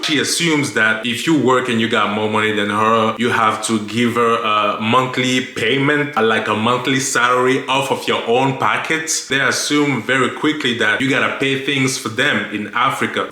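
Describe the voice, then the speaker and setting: A man's voice: medium pitch, pushed and bright. A man from Cameroon, giving personal opinions to viewers in an explanatory way, repeating points for emphasis. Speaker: video host. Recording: echoey, reverberant room.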